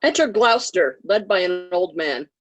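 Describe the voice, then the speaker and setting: A woman's voice: lowish voice, big pitch swings, moderate pace, fairly bright tone, muffled recording. A woman reading out stage directions as a play's narrator, in a neutral style.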